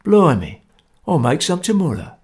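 A man voicing a complacent working-class male conspirator, blunt and loud. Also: a little high, sing-song, moderate pace, husky, voice pushed up. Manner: blunt, loud, self-satisfied.

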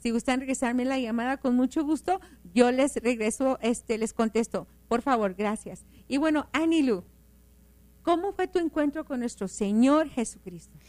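Host, woman, raised pitch, dry close recording, friendly interviewer. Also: normal speed, a little mellow, no room sound. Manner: friendly, affirming, asks questions. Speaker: radio host.

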